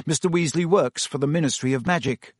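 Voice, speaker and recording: A man's voice: on the high side, brisk, breathy edge, no room sound, studio quality. A man doing an earnest teenage schoolboy.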